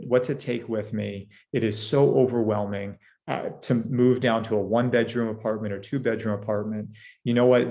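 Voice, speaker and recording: A man's voice: lowish voice, vocal fry, darkish tone. A man giving a presentation on the services of his senior care company, speaking earnestly with frequent restarts. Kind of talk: recorded presentation.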